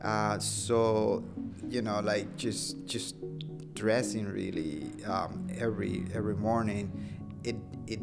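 A low-pitched man from Cuba speaks in interview answers, stopping and repeating words as he works out his thoughts. His voice has a gravelly edge, and soft music plays behind him. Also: fairly even intonation. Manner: halting, repeats words while thinking. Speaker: university professor interviewed. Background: background music.

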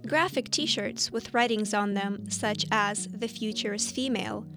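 A woman narrating part of a news feature from a script, reading carefully and in a measured way. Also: medium pitch. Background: background music.

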